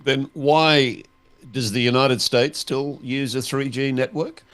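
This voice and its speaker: A man's voice: mid-range voice, steady tone, takes it slowly, a bit bright. An Australian man hosting a casual talk show, asking questions and reading out news items. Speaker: show host.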